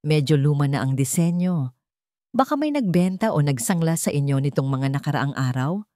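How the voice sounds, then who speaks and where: A woman's voice: low-pitched, expressive, moderate pace, mellow, no echo, clean studio sound. A woman voicing a serious, commanding male doctor in a story, speaking firmly and directly. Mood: guarded, direct. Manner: firm, direct.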